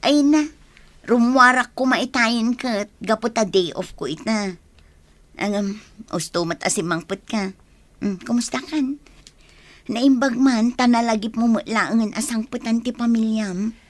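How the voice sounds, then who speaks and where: A lively, chatty woman friend in a radio play, voiced by an actress. Her voice is fairly high, and she talks in exclamations with open concern. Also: unhurried, close to an everyday voice. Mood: delighted, welcoming. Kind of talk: radio drama acting.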